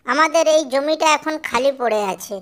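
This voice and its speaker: A woman's voice: sits high, normal speed, a bit bright. A woman voicing a firm, sentimental widowed farming mother in a cartoon story, plain-spoken and instructing. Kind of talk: Bengali cartoon story acting.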